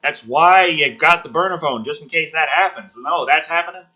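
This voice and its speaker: A man's voice: fairly high pitch, normal speed, bright, forceful tone, poor audio. A man giving a ranting, sarcastic commentary as he reads a screenplay aloud, profane and exasperated. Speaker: host of a movie script review.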